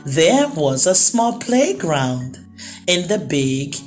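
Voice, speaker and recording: A woman's voice: low voice, big pitch swings, slow. A woman narrating a children's story expressively, drawing out words for emphasis. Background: music.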